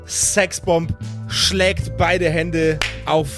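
A man tells an improvised roleplay scene as game master. His fairly high voice moves slowly and deliberately, stretching phrases for drama, with clear music behind him. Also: level tone, a bit bright. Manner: deliberate, drawn-out storytelling. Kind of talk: dramatic improvised pen-and-paper narration.

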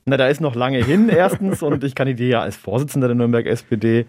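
A man in his thirties, a native Arabic speaker from Germany, answering interview questions in a measured, reflective way with an occasional chuckle. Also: mid-range voice, a little mellow, no room sound, studio quality. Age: 30s. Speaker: interview guest, local politician.

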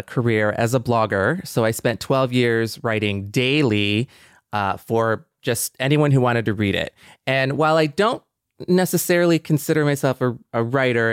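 A man's voice: mid-range voice, clean tone, dry recording, studio quality. A middle-aged man co-hosting a podcast, speaking in an earnest, thoughtful way with hesitant, searching phrasing. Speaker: podcast co-host. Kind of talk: reflective podcast conversation.

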